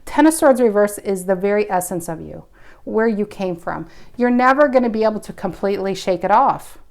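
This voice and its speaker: A woman in her forties talks through a tarot spread, musing and intuitive. She thinks aloud as she goes, breaking off and restarting her sentences. Her voice sits at an ordinary middle pitch. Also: expressive, fairly quick, creaky. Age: 40s. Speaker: tarot reader. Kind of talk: casual spoken tarot reading.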